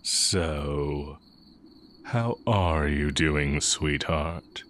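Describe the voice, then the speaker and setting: A man playing an exiled heretic dark-magic teacher in an intimate audio roleplay, wry and condescending yet fond of the listener. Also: rumbling low, extremely slow, slight background noise, heavily acted. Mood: fond, musing.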